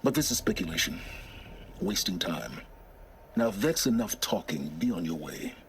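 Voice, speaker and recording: An actor playing an aloof, arrogant scholar in a video game, a middle-aged man with a measured, impatient and condescending manner. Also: sits low, very animated, slight background noise. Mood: impatient, explanatory. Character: aloof, arrogant older male scholar of ancient ruins. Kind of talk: dramatic video game dialogue.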